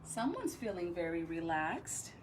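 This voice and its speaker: Woman, schoolteacher, expressive children's read-aloud, very sing-song pitch swings, unhurried pace, dramatic phrasing, chatty asides, noisy background. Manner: animated, deliberate, dramatic phrasing with drawn-out words. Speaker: teacher reading a picture book to students.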